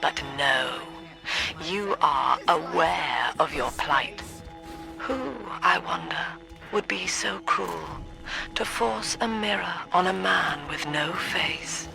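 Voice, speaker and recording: A cold British woman leading a cult in a video game. She preaches slowly and formally with clinical, lofty authority, with music running clearly behind her. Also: low voice, very animated, exaggerated voice, rough recording. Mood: icy, menacing. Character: cold, lofty middle-aged female cult leader and psychiatrist. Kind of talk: scripted video game villain monologue.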